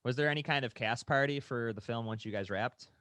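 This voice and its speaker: A male podcast host asks his guest short, easygoing questions. His voice is bright and mid-pitched, and it sounds dry, with no room echo. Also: fairly quick. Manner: easygoing, brief questions and agreeing backchannels. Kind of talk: interview questions.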